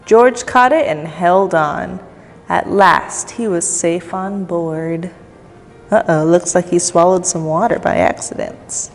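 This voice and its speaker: A woman's voice: lowish voice, slow. A woman reading a children's story aloud at storytime, expressively, with playful asides to young listeners. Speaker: volunteer storybook reader. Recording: long echoey reverb, faint music behind.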